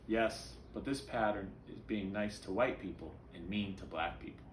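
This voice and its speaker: A man voicing a patient, earnest storybook mother who explains things in a gently instructive way. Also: fairly low pitch, normal speed, reverberant, slight background noise, natural voice.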